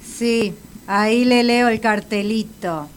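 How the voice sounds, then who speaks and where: An actress with an Argentine accent playing a stern, sarcastic, insistent hospital doctor in a comic radio drama. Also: female voice, a little high, takes it slowly, a little hiss or hum. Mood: stern, impatient. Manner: sardonic, insistent.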